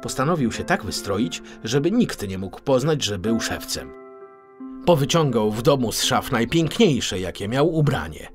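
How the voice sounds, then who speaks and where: A male narrator tells a children's story with very lively intonation. His mid-pitched voice is expressive and plays over faint music. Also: normal speed. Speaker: audiobook narrator.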